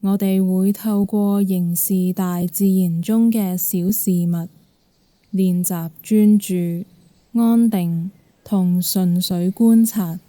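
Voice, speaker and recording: A woman with a Hong Kong Cantonese accent guiding a meditation in a gentle, calm manner. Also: mid-range voice, no room sound. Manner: gentle, calm, unhurried instruction. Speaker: guided meditation instructor. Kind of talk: guided meditation narration.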